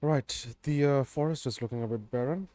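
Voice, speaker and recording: A man streaming a game, chatting in a laid-back, rambling way with lots of fillers, his voice a bit raspy. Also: mid-range voice, moderate pace, mellow, no echo. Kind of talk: casual game stream chat.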